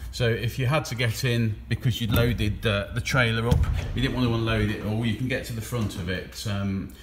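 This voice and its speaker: Casual trailer salesman, average pitch, um-filled walkaround talk, echoey warehouse. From England. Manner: chatty, relaxed, with frequent ums. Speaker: trailer dealer. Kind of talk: walkaround product video. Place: large trailer warehouse, indoors. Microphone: handheld phone camera, filming while walking. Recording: echoey warehouse with faint hum.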